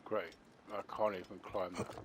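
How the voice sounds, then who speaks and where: A man's voice: low-pitched, fairly even intonation, normal speed, background noise. A man commenting on his video game playthrough, rambling and chatty. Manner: rambling and chatty, many fillers. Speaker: gaming channel host. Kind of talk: let's play commentary.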